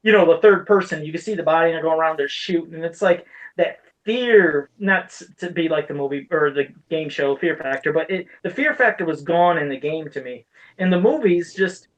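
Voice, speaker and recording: A man's voice: a little high, fairly quick, rough recording. A man co-hosting a podcast, talking casually and frankly about his opinions in an informal, conversational way. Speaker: podcast co-host. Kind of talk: casual chat about video game movies.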